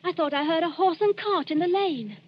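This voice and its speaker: A woman's voice: very high-pitched, fairly quick, a little hiss or hum. A woman playing a timid, dutiful young servant girl, meek and apologetic, in old radio drama style. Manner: meek, deferential. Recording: muffled vintage radio recording.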